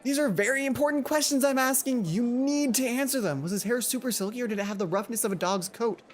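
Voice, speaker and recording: Someone doing a high, gushing teenage schoolgirl. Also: quick pace, lightly acted. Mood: nosy, insistent. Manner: gushing, insistent, emphatic. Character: excitable, nosy teenage schoolgirl best friend.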